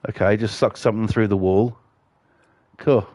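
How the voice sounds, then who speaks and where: A man's voice: fairly low pitch, fairly even intonation, moderate pace, darkish tone, dry recording. A man with a cold, chatting casually and swearing while streaming a game, with lots of fillers. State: has a cold.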